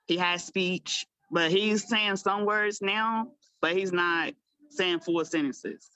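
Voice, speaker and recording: A woman's voice: on the low side, slow pace, sharp tone, smooth, no echo, rough recording. A woman in her thirties, a guest mother speaking in a candid, rambling, heartfelt way. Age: 30s. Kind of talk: personal storytelling.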